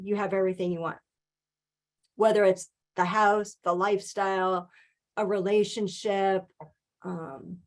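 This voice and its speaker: Calm, earnest woman coaching on mindset. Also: medium pitch. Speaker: guest speaker, author and financial advisor. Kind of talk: webinar interview, motivational talk.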